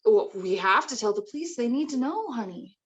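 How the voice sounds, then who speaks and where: A woman acts a firm, worried mother in a screenplay table read. The pitch is fairly high and swings widely, with a bossy edge. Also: expressive, brisk, natural voice. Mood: concerned.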